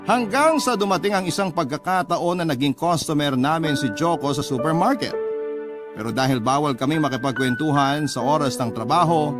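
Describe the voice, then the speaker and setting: A man's voice: fairly high pitch, a little fast. A man with a Filipino accent narrating a scripted, first-person love story in radio style. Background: music.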